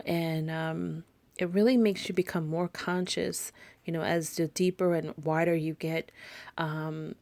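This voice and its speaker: A woman's voice: on the low side, darkish tone, no echo. A woman with a soothing voice in a rambling, casual spiritual monologue for her podcast, full of fillers and restarts. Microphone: close microphone.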